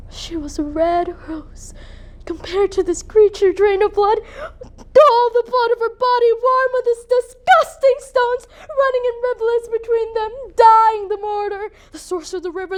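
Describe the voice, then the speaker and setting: A woman's voice: very high-pitched, dark tone, pitched above the performer's own voice. A woman performing a dramatic monologue as a grieving, bitter, proud young noblewoman mother, with an emotional theatrical delivery. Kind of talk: dramatic monologue for speech competition.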